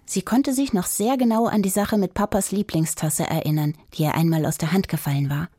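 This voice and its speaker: A woman narrator telling a scripted children's radio play story in an expressive way. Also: fairly low pitch, fairly quick, dry recording, clean studio sound.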